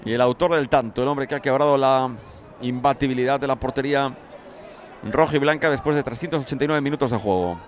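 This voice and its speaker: A man's voice: mid-range voice, quick pace. A man with a Spanish accent from Spain giving live play-by-play football commentary. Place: outdoor football ground. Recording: outdoor stadium crowd noise behind. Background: crowd noise.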